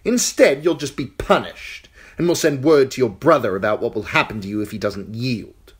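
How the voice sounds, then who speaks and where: A spoiled young king sneers and boasts in a raised, needling voice, put on by a male reader. The tone is lively and a little husky, swinging up and down as he gloats. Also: medium pitch, moderate pace, voice pushed up. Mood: imperious. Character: cruel petulant boy king.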